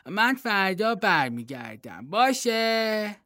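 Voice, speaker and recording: A man voicing a naive, simple-minded young fool in a read-aloud folktale, talking in a childlike, pleading, excitable way. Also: sits high, dry recording, clean studio sound, exaggerated voice. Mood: coaxing. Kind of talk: storybook reading.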